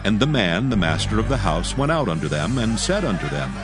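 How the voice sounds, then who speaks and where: A male narrator tells a scripture story in archaic phrasing. His voice is fairly low and solemn, with a very expressive melody over soft music. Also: fairly quick.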